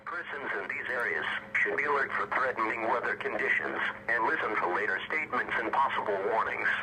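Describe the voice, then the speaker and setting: A synthetic male text-to-speech voice reads scripted emergency weather warnings as an automated radio announcer, formal, impersonal and stiffly measured. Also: mid-range voice, lively intonation. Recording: noisy, staticky weather radio broadcast.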